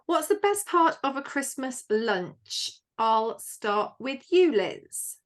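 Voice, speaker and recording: Lively high-voiced woman hosting a podcast. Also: takes it slowly. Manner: playful, self-deprecating. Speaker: podcast host. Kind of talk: casual chat, running a quiz.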